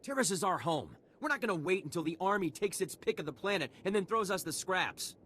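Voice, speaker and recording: A man's voice: high-pitched, lively intonation, brisk, fairly bright tone. An actor playing an indignant, entitled male settler in a video game, haughty and complaining. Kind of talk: scripted video game dialogue. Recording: noisy game capture.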